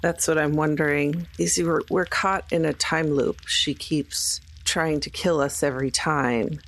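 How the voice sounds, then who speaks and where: A woman's voice: low-pitched, steady tone, measured pace, vocal fry, fairly bright tone. A woman playing a tabletop roleplaying game, improvising her talk in character.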